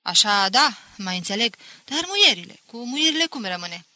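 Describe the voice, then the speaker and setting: A woman's voice: medium pitch, big pitch swings, bright, forceful tone. A woman voicing a naive, skeptical young outsider in an audiobook, questioning and incredulous. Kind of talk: audiobook character acting.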